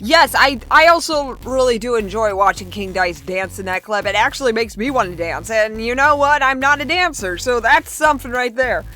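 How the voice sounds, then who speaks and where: A young woman vlogger chatting casually as she reads out and answers viewer comments, in a chatty, excitable manner. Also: fairly high pitch, normal speed, hardly any pauses.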